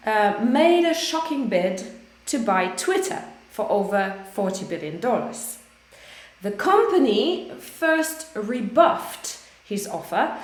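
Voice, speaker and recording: Woman teacher, hoarse, unhurried and hesitant, fairly high voice, roomy echo. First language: English. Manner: slow, hesitant, many fillers and restarts. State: hoarse, losing her voice. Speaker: English teacher. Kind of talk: live online English lesson.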